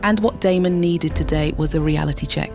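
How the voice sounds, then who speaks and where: A woman narrating an audio drama with dramatic, measured storytelling. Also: sits low. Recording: music bed under narration.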